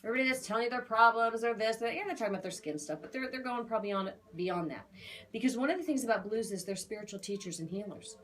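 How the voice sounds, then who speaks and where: A woman's voice: a little high, rapid-fire, a bit bright. A woman giving a conversational spiritual reading as an aura reader, talking in a chatty, emphatic, assured way.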